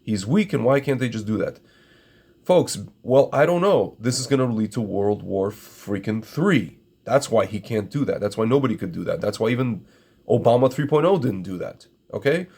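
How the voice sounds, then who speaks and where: A man's voice: mid-range voice, lively intonation, moderate pace, creaky, a little mellow, husky. A middle-aged man from Ukraine, a native Russian speaker, giving an opinionated monologue to his viewers, emphatic and repetitive, often calling them "my friends". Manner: emphatic, repeats phrases, addresses viewers as friends. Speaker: commentator.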